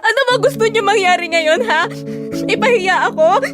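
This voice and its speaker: High female voice, actress as wounded guarded young woman, emotional, pleading yet firm, audio drama, music behind. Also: moderate pace. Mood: upset, overwhelmed. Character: hurt, guarded young woman confronting her womanizing lover. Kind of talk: Filipino audio drama acting.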